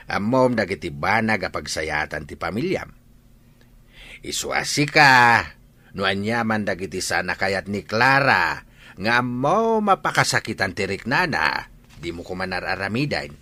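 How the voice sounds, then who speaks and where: Actor as a deep, animated Filipino father. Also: a little slow, heavily acted. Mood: earnest, advising. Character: caring older father giving firm advice. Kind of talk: audio drama acting.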